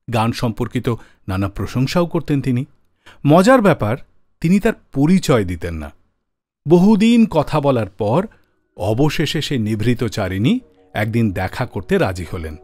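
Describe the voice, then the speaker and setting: A male radio host tells stories in a dramatic, scripted style. His mid-pitched voice moves up and down with lots of animation. It is recorded dry and close on a studio microphone, with faint music behind it. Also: sing-song, normal speed, darkish tone, no echo. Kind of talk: scripted radio storytelling about songs and singers.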